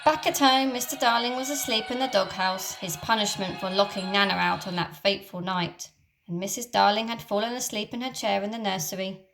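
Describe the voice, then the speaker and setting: A woman teacher reading a children's storybook aloud, with expressive, dramatic storytelling. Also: medium pitch, a little fast, vocal fry, a little hiss or hum.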